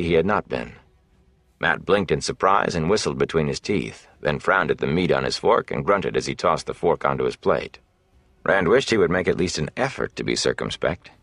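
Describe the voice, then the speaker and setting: A man with a very low voice narrates a fantasy novel. His reading is dramatic and scripted, captured close up with a very dry sound. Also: a bit bright, no echo. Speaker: audiobook narrator.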